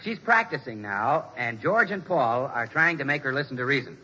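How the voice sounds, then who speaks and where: A man's voice: medium pitch, lively intonation, normal speed, pushed and bright, slightly rough, heavily acted. An American man playing a jovial, relentlessly salesy announcer in 1940s radio comedy, with a hearty, polished delivery. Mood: upbeat, polished. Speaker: announcer and comic sidekick. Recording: muffled, hissy 1940s radio recording.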